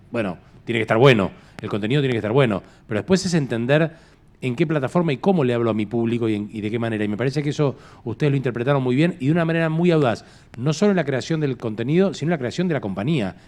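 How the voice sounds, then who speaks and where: A man moderating a panel at a live event, speaking in a halting way and repeating phrases as he thinks. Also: mid-range voice, a little mellow. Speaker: host moderating a conference panel. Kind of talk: spoken commentary at a live talk.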